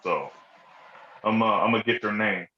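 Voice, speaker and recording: A man's voice: lowish voice, moderate pace, reverberant, muffled recording. A man from Las Vegas in a casual livestream chat, speaking in an easygoing, hesitant way with many fillers.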